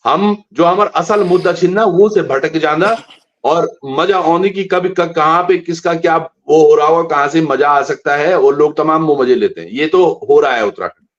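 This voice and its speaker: A man's voice: a little high, normal speed. A man hosting a live stream interview, asking long questions. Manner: asks long, searching questions. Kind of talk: casual interview. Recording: dull lo-fi live stream audio.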